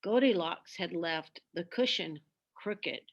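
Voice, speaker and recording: A woman reading a children's story aloud with expressive, deliberate, dramatic narration. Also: on the low side, creaky, highs cut off.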